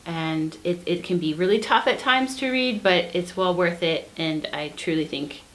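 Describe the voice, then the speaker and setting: A woman's voice: lowish voice, moderate pace. A woman co-hosting a book review, chatting casually and earnestly with emphatic enthusiasm. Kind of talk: casual book recommendation chat.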